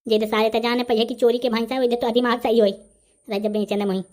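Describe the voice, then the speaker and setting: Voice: medium pitch, flat delivery, fast, darkish tone, close to an everyday voice. An actor with a Bundelkhandi accent voicing a brash, argumentative village man haggling in a comedy cartoon. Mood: grumbling.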